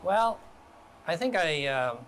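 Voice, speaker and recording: A man from Canada working as a guest chef, talking relaxed and step by step through a home cooking demonstration in a kitchen. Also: on the high side, slow pace, slight background noise.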